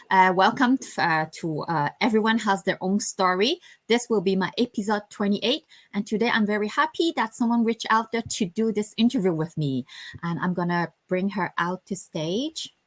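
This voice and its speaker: A woman's voice: medium pitch, expressive, fairly bright tone. Hong Kong-born woman hosting an interview. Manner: friendly, halting, with fillers. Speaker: interview host.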